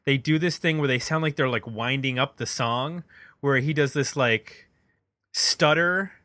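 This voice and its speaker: A man's voice: mid-range voice, unhurried, pushed and bright, no room sound. A man talking casually and analytically about music as a podcast host, feeling his way through his phrasing. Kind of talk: casual album discussion.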